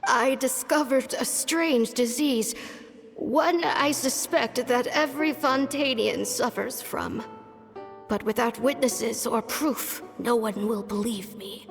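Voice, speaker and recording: A woman's voice: fairly high pitch, slow pace, vocal fry. A woman playing an ailing, stubborn elderly doctor and mentor in a video game, weary and solemn, given to sighing. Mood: grave, resigned. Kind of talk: scripted game voice acting. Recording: long reverb, music behind.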